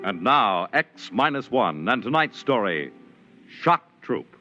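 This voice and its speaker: A man's voice: fairly low pitch, very animated, slow. An American man announcing a 1950s radio drama in a formal, dramatic style. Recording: dull vintage radio recording with loud music.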